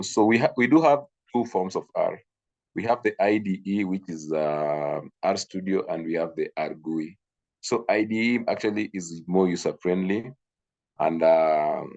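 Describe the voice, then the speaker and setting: A man from Kenya teaches a class over a video call. His voice sits very low. He talks haltingly, with fillers and repeated words. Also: darkish tone, muffled recording. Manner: hesitant, repeats himself, frequent fillers. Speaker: instructor. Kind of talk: online training session.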